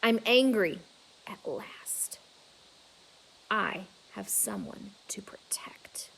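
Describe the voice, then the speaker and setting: A woman's voice: mid-range voice, drawn-out words, gravelly, whispered, low-quality recording. A woman voicing a brooding captive male gorilla who tells his own storybook tale solemnly and dramatically. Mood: hushed, resolute. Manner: solemn, dramatic first-person telling.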